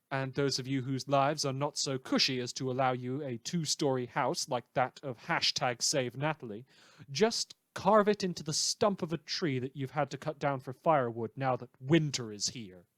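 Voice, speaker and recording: A man's voice: mid-range voice, fairly bright tone, dry recording, lightly acted. Theatrical, sardonic man acting as radio host. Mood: mocking, ominous. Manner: theatrical, sardonic, drawn-out emphatic phrasing. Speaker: radio news show host. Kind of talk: scripted satirical radio news monologue.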